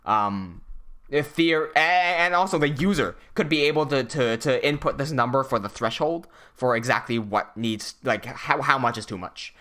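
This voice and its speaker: A man's voice: mid-range voice, normal speed, bright, forceful tone. Young man thinking aloud on a livestream. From Hong Kong. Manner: thinking aloud, reads chat messages, many restarts. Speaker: animator hosting a livestream. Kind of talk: casual livestream chat.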